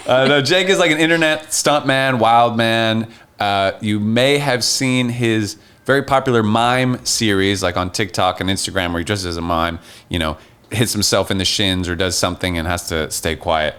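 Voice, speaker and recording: A man's voice: on the low side, normal speed, fairly bright tone, clear, resonant tone. A man in his thirties co-hosting a podcast, chatting casually and laughing often. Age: 30s. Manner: laid-back, laughs often. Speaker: podcast co-host. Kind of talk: casual chat.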